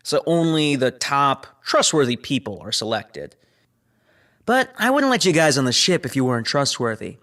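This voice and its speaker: A man's voice: medium pitch, sing-song, normal speed, a bit bright. Casual male spaceship crewman. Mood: explaining, confiding. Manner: casual, conversational. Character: guarded but chummy spaceship crewman, adult man. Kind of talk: naturalistic sci-fi audio drama dialogue.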